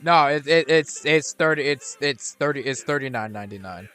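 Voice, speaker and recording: A man's voice: mid-range voice, lively intonation, normal speed, a bit bright, smooth, soft background music. A young man streaming a video game, chatting casually and rambling with restarts and fillers. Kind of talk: casual gameplay commentary.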